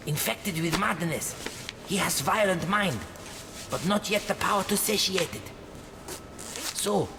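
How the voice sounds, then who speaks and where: A dying Tibetan monk in a video game, righteous and embittered. His high voice delivers stilted, halting English theatrically over a loud rumble. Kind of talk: dramatic video game cutscene acting.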